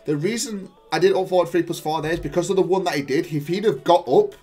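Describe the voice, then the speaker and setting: A man's voice: high-pitched, fast talker, warm tone. Man commentating gameplay over music. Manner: explanatory, jargon-heavy, thinking aloud. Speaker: gaming YouTuber and player analysing own match.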